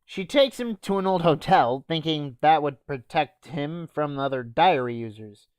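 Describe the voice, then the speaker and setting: A woman's voice: low-pitched, lively intonation, measured pace, darkish tone, dry recording. A young woman delivers a casual, comedic review. She takes her time, stumbling and hesitating as she goes, with a dry manner. The recording has very little room sound. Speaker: anime reviewer.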